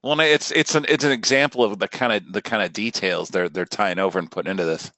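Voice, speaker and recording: A man's voice: on the low side, fast talker, bright, forceful tone, dry recording. A man giving casual, conversational reaction commentary as he watches along.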